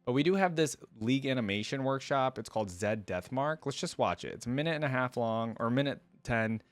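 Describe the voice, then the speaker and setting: A man's voice: mid-range voice, animated, a little fast, dry recording. A man giving casual, slangy reaction commentary as the host of a video. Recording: loud music behind the voice. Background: game trailer and theme music.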